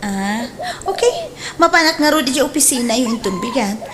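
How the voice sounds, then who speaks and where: An actress plays a coy, wheedling mother of five in an Ilocano radio drama. Her Ilocano-accented voice swoops up and down in a very lively way, with slight noise behind it. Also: high-pitched, normal speed. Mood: coaxing, demanding.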